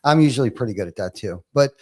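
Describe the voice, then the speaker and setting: A man's voice: medium pitch, animated, fast talker, dark tone. A man hosting a casual interview podcast, talking loosely with many "you know"s and restarts. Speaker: podcast host.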